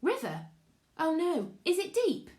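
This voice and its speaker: A woman's voice: extremely high pitch, wildly swooping pitch, measured pace, a little mellow, pitched above the performer's own voice, exaggerated voice. A woman voicing a fussy, dainty young rich girl in a storybook, fretful and exclaiming. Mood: worried. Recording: echoey room.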